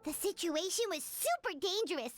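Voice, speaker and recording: A woman voicing a small, cheerful, boastful fairy companion in a video game, with a squeaky, childlike, excitable delivery. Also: normal speed, faint music behind. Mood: proud, boastful. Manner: excitable, refers to self in third person.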